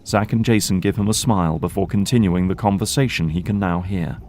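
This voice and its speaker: A man's voice: low-pitched, steady tone, fairly quick, darkish tone. A man reading scripted narration as the narrator of an audio drama.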